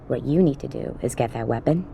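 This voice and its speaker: A tough policewoman in a game cutscene, with a very low, mellow voice. She talks bluntly and informally, like someone who knows the street. Faint noise sits behind her. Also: brisk, vocal fry, muffled recording. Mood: brisk, businesslike. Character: tough, streetwise woman police officer briefing an operative. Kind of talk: video game cutscene dialogue acting.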